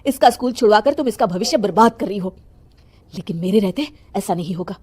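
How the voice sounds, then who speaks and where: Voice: mid-range voice, lively intonation, a little fast, darkish tone, husky. An actor voicing an indignant, protective father in a moral story, speaking firmly with reproach and reassurance. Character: indignant protective father defending his daughter's schooling.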